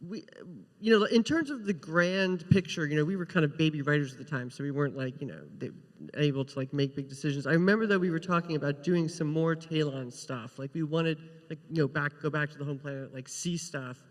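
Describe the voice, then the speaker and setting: A man's voice: a little high, a little fast. A man telling a story casually as a panelist, in loose speech peppered with "like", in a reverberant hall. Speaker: panelist, TV writer.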